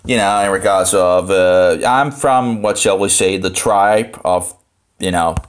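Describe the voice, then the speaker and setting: A Danish man with a Danish accent thinks aloud through informal Bible commentary, halting and rambling as he repeats phrases. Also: low-pitched, clean tone. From Denmark. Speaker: live streamer studying the Bible.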